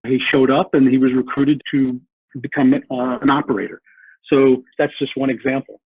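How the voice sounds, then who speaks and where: A man's voice: mid-range voice, level tone, normal speed, breathy edge, no room sound. A man explaining history earnestly as a podcast guest, often repeating words as he thinks. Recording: tinny phone-like line.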